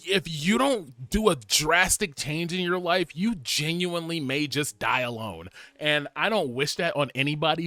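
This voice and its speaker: A man in his twenties chatting casually to camera, rambling and animated, with lots of fillers and laughs. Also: on the high side, no echo. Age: 20s. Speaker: vlogger.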